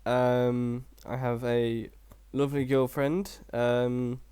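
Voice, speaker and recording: A man's voice: mid-range voice, level tone, slow, mellow, clear, resonant tone, no room sound. Brief dry english guy, early 20s, podcast chat. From England. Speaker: podcast co-host. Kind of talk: casual chat.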